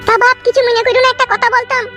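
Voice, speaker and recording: An extremely high-pitched, rapid-fire cartoon mother-in-law with a Bengali accent. She is scheming and folksy, piling on fawning endearments. Music plays behind her. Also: little pitch movement, pushed and bright. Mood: pleading, conniving. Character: scheming, gushing village mother-in-law, middle-aged woman. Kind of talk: comedy cartoon dialogue.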